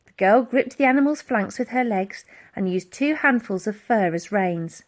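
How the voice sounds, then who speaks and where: A woman narrating a children's adventure story in an animated storytelling style. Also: mid-range voice, moderate pace. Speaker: audiobook reader.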